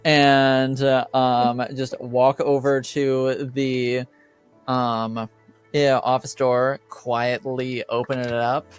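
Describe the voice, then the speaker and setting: Smooth, slow-paced male game master. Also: medium pitch, little pitch movement, faint music behind. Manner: hesitant, improvising with pauses. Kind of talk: narrating a tabletop game.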